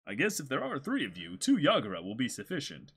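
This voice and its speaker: A man's voice: mid-range voice, wildly swooping pitch, fast, a bit bright, slightly rough, pitched below the performer's own voice, exaggerated voice. A man voicing a helpful, matter-of-fact shopkeeper from a comic, explaining things plainly to customers. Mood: businesslike.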